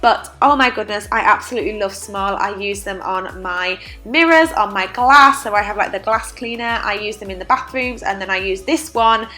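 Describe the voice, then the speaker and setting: A woman's voice: medium pitch, moderate pace, hardly any pauses, bright, forceful tone, faint music behind. A young woman with a stuffy, congested voice from a cold, chatting casually in a voice-over. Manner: chatty, with frequent "like" fillers. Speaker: cleaning vlogger.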